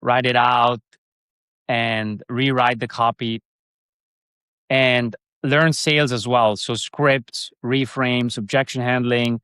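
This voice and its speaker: A man's voice: medium pitch, fairly even intonation, takes it slowly, bright, forceful tone, clear, resonant tone, no room sound. A man from Switzerland with a Swiss German accent, a guest in a casual interview, rambling with restarts and fillers.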